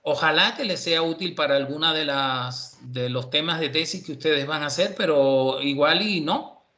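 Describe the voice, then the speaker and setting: A man from Cuba teaching an online university class, relaxed and chatty with many fillers. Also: on the high side, moderate pace. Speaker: university professor.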